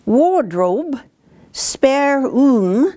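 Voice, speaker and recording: A woman's voice: sits high, very animated, extremely slow, warm tone, pitched above the performer's own voice, exaggerated voice. A woman voicing a polite, anxious male faun in a storybook, with a formal, questioning manner. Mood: curious, courteous.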